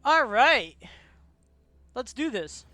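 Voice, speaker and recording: A woman game streamer with a high, bright, very animated voice. She gives exuberant, casual live commentary and swears freely. Her delivery is unhurried. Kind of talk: excited live gameplay commentary.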